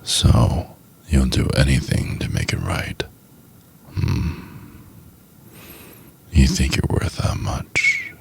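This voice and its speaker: A man playing a cold, domineering, contemptuous chief executive in an ASMR roleplay, speaking in a husky, condescending, deliberate half-whisper. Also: extremely low pitch, big pitch swings, a little hiss or hum, heavily acted. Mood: commanding, demeaning. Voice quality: husky, gravelly. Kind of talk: intimate ASMR roleplay, acted monologue.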